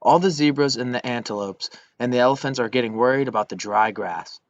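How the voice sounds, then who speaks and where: A man's voice: medium pitch, a little fast, no room sound, natural voice. A man voicing a tired, hungry monkey friend in a mild children's storybook reading. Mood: weary, worried. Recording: dull lo-fi recording.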